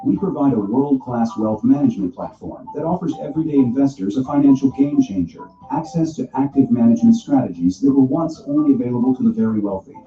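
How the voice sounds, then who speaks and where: A man reading a scripted corporate promotional narration with a smooth, polished delivery. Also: mid-range voice, expressive, quick pace, hardly any pauses, warm tone. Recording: dull, distant video playback in an echoey room. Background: background music.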